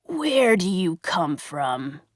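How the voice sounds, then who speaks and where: Lady putting on a low slow grumpy puppet master, storybook voice. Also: very animated, dry recording, studio quality, heavily acted. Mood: softened. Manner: slow, gruff and menacing. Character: nasty gruff male puppet master. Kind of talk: storybook character reading.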